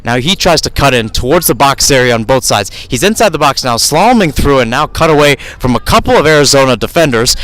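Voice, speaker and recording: A man's voice: medium pitch, animated, fairly quick, hardly any pauses, a bit bright, rough recording. A man calling live soccer play-by-play for radio from outdoor fields, talking in a rambling way and often correcting himself.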